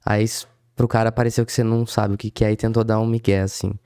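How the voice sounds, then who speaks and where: A man's voice: lowish voice, little pitch movement, quick pace, warm tone, clear, resonant tone, no room sound. A Brazilian man co-hosting a podcast, a programmer adding casual points with dry jokes.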